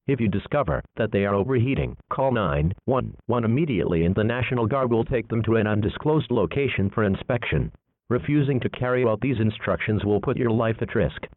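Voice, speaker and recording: A man's voice: lowish voice, animated, a little fast. Phone-like male emergency alert voice. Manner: impersonal, matter-of-fact. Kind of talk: formal scripted emergency alert. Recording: muffled, phone-like narrow audio.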